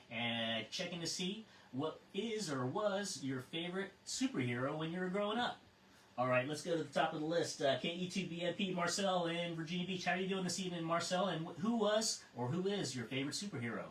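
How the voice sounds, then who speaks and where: An American man serves as net control on an amateur radio net. His voice sits a little high, measured and procedural with casual asides. It is picked up from a distance in an echoing room with faint noise. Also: fairly bright tone. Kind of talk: reading a net script and chatting with check-ins.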